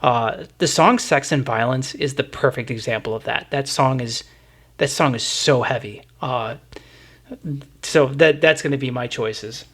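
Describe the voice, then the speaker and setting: A man's voice: mid-range voice, a little slow, fairly bright tone. A man co-hosting a casual music discussion, enthusiastic and opinionated. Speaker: music podcast co-host.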